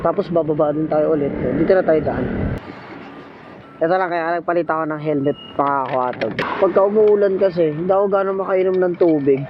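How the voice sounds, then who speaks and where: A man's voice: on the high side, a little fast. A man chatting and narrating casually as a motorcycle taxi vlogger, jokey and teasing, with frequent laughter. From Philippines. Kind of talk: casual chat and vlog narration. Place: outdoors riding a motorcycle in traffic. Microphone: helmet intercom mic. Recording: muffled helmet intercom, loud road noise.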